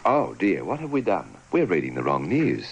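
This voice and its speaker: A man's voice: low voice, wildly swooping pitch, normal speed, slightly rough. A man presenting the news on radio as a newsreader. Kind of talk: news reading.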